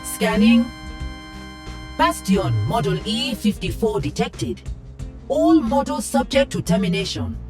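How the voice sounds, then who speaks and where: A woman's voice: fairly high pitch, big pitch swings, a little slow, a little mellow, soft background music. A woman voicing an earnest, polite female guardian robot in a video game, speaking formally and precisely through a robotic filter. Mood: stern, resolute.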